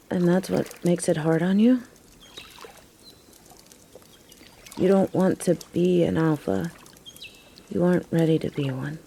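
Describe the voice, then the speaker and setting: A woman's voice: on the low side, gravelly, warm tone. A woman playing a tough, sardonic but caring young biker girlfriend in a roleplay audio drama, talking in casual slang with a teasing, wry manner. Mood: sympathetic, probing. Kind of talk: scripted roleplay audio drama, intimate one-sided conversation. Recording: faint background noise.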